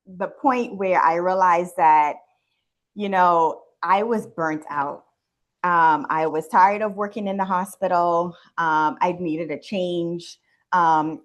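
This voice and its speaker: Unhurried, low-voiced woman from the Bahamas. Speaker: interview guest, wellness business owner and nurse. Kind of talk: conversational personal storytelling.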